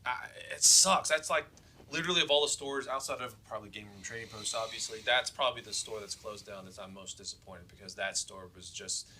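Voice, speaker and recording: A man's voice: fairly low pitch, fairly quick, pushed and bright, slight background noise. A man co-hosting a show in casual chat, rambling emphatically and circling back over his points. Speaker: show co-host.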